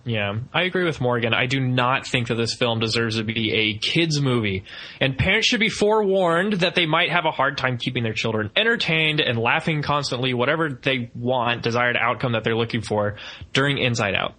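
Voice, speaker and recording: A man with a bright, forward voice. His pitch sits in the middle of the male range. He talks as a podcast co-host, casually laying out his opinions in a film review. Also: moderate pace, rough recording.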